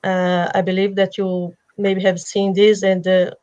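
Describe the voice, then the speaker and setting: Halting woman host, flat voice. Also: mid-range voice, muffled recording. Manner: halting, with many fillers and restarts. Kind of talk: video call panel discussion. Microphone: video call webcam.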